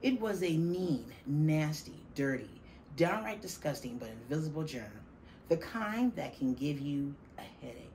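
Slow, low-voiced woman reading a storybook. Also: expressive, darkish tone. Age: middle-aged. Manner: deliberate, emphatic, dramatic stresses on key words. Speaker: teacher reading a picture book aloud to children. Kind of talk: expressive children's storybook reading. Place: small classroom, indoors. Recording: faint room noise behind the voice.

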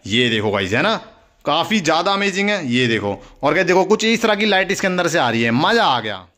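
A young Indian man with a notably high voice hosts a casual product demonstration. He speaks enthusiastically, often repeating himself as he addresses his viewers directly. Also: big pitch swings, fairly quick, sharp tone. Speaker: toy review video host.

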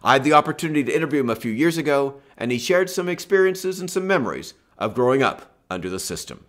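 An older man presenting a history lesson in scripted narration from a room at home, in a measured, expressive storytelling manner. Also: mid-range voice. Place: home room with bookshelf and lamp.

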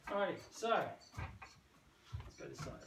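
A man's voice: sits high, animated, slow pace, vocal fry. Middle aged guy teaching martial arts moves, playful and active, far from the mic on a porch, noisy. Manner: playful, punctuating moves with shouted sound words; physically active while talking. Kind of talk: hands-on demonstration, casual teaching. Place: covered brick veranda outdoors. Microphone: camera several metres away. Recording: distant camera mic, roomy, noisy.

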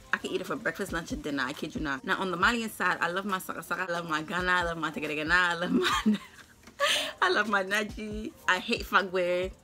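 A woman's voice: on the low side, sharp tone. A young woman from Brooklyn, chatting to camera in her bedroom, playful and slangy, laughing often. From Brooklyn, New York. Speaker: vlogger. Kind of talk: casual chat to camera. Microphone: camera in front of her.

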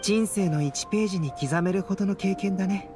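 A calm young male scholar, played by a man, soft-voiced and detached. He muses in measured phrases, anime game style, with music behind him. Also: sits high, lightly acted. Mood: intrigued. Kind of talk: Japanese anime game voice acting.

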